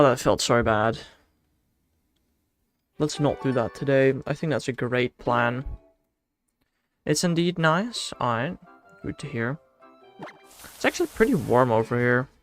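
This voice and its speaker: A young man from the Netherlands with a Dutch accent, chatting casually and slangily with his chat while streaming a game. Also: mid-range voice. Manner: laid-back and slangy, talks to himself. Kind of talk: casual livestream chat, answering chat while playing.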